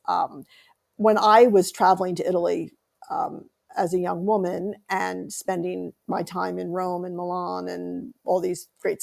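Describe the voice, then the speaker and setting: A woman's voice: medium pitch, vocal fry, a little mellow. An American woman author being interviewed on a podcast, speaking thoughtfully with frequent ums and restarts. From United States. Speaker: author and guest.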